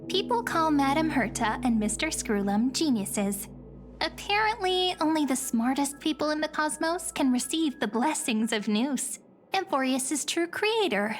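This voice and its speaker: A woman voicing a sweet, friendly young girl guide in a video game, chatty, gentle and polite. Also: high-pitched, expressive, unhurried, faint music behind. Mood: explaining gently. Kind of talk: video game character acting.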